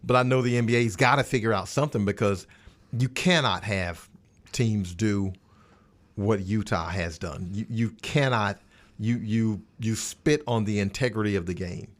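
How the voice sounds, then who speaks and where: A man's voice: on the low side, moderate pace. A man talking sports casually as a podcast panelist, emphatic and repeating words for stress. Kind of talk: casual sports roundtable discussion.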